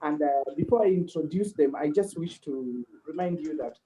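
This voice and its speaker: A man's voice: a little high, warm tone, slight background noise, low-quality recording. A man hosting an online panel, polite and formal, with many fillers. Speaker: host.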